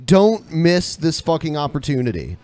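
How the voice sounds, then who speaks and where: A man's voice: fairly high pitch, big pitch swings, normal speed, a little mellow, no echo. A man co-hosting a podcast in casual, conversational style. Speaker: podcast co-host. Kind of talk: casual chat, show promotion.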